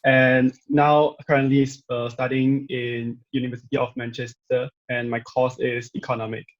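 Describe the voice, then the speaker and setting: A young man from Malaysia, a native Chinese speaker, who speaks halting English as a student guest on a video call. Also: mid-range voice, flat delivery, dull audio. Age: young adult. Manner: halting, with simple phrasing.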